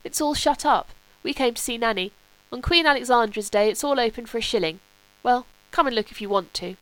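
A woman's voice: a little high, a little fast, fairly bright tone, no echo, voice pushed up, lightly acted, low-quality recording. A woman voicing a moody, wry young upper-class male student. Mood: offhand. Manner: languid, wry, turning curt. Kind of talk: audiobook character voice.

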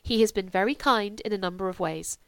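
A woman's voice: mid-range voice, brisk, fairly bright tone, clear, resonant tone, no room sound, lightly acted. A woman voicing a stern, devout older aristocratic mother who speaks in a cool, reproving way. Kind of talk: audiobook character voice.

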